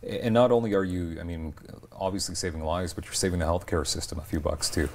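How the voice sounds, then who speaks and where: Fast-talking, low-voiced male TV host. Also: fairly even intonation, a little mellow. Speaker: TV talk show host. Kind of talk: conversational interviewing. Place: TV studio, indoors.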